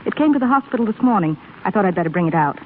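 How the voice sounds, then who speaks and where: Stern, formal nurse female actor, rapid delivery, narrow tinny vintage radio sound. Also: medium pitch, lively intonation, lightly acted. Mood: hurried, friendly. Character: brisk, firm, no-nonsense female hospital nurse. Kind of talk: 1930s radio drama acting. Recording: scratchy, tinny 1930s radio broadcast recording.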